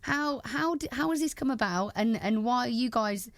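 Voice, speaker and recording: A woman's voice: fairly high pitch, normal speed, no room sound. Woman radio presenter, close dry sound. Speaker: radio presenter interviewing guests. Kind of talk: local radio sports interview.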